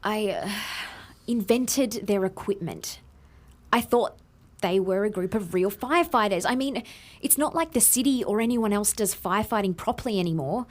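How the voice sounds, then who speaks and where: An Australian actress playing a guilty, defensive inventor who earnestly explains herself in an audio drama. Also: female voice, mid-range voice, moderate pace, a little mellow, natural voice. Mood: apologetic.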